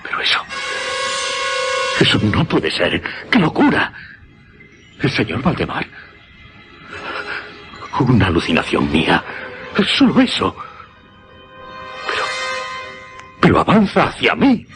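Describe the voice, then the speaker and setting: An actor with a Castilian Spanish accent playing a fearful, haunted magnetizer, halting and repeating himself in a dramatic radio suspense style. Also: male voice, medium pitch, wildly swooping pitch, over music. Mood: mounting terror. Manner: halting, breathy repetitions, dramatic. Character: haunted, fearful male magnetizer shaken by horror.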